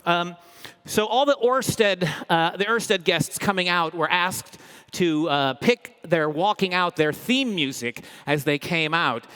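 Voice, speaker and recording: A man with a fairly high voice presents live on stage in a large, reverberant hall. He is an upbeat comedian host, energetic and playful. His delivery is humorous, full of tongue-in-cheek boasting. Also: slow, clean tone. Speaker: comedian hosting a corporate event. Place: large indoor event hall, live audience. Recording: echoey big hall, long reverb.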